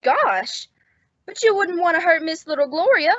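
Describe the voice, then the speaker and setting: A woman's voice: extremely high pitch, animated, moderate pace, fairly bright tone, dry recording. A woman playing a naive, girl-struck young bellboy with a guileless gee-whiz manner in a radio comedy. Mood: eager, smitten. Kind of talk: 1950s radio comedy acting. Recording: dull old-time radio recording.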